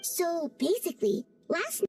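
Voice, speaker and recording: Squeaky, excitable little game sidekick. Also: female voice, measured pace, faint music behind, heavily acted. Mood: puzzled. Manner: perky, exclaiming, eager. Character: tiny excitable childlike sidekick girl.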